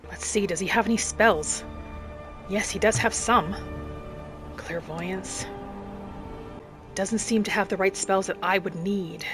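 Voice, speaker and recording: Woman, medium pitch, measured earnest delivery, in-character roleplay narration, game host, faint background music. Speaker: gaming channel host.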